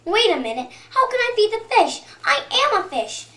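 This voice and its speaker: A woman's voice: very high voice, very animated, normal speed, pushed and bright, voice pushed up, full character voice. A woman voicing a dreamy, anxious young boy in a readers theater story. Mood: worried. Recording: echoey room.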